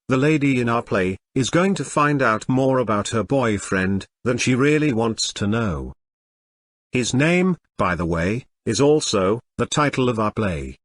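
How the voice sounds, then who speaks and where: A man's voice: medium pitch, no echo. A man presenting an audio play, reading a scripted introduction addressed directly to the listener.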